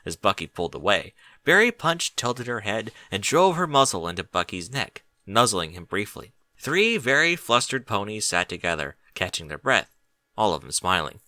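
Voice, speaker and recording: A man reading a story aloud as expressive scripted narration. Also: lowish voice, moderate pace, dry recording. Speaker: fan fiction reader.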